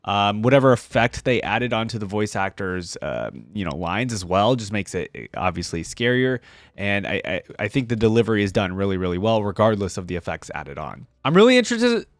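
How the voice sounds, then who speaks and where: A man's voice: fairly low pitch, very animated, brisk, dry recording, studio quality. A man giving casual reaction commentary as a video host, thinking aloud informally.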